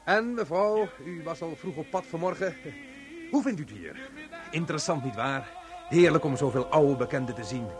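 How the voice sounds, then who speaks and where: An actor playing a chummy, unctuous radio reporter doing mock live commentary in a radio drama. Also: male voice, a little high, animated, moderate pace, music in the background, natural voice. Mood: jovial, ingratiating. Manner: cheerfully unctuous, chatty. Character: smarmy, chummy male radio reporter at a grim spectacle.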